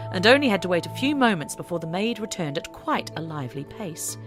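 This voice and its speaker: A woman reading a scripted story aloud as an audiobook narrator. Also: fairly low pitch.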